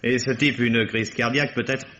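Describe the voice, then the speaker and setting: An actor playing a blunt, suspicious police inspector in an old radio mystery, speaking in a curt, matter-of-fact, sardonic way. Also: male voice, medium pitch, steady tone, moderate pace, sharp tone, close to an everyday voice. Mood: curt, dry. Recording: roomy, dull, noisy vintage radio recording.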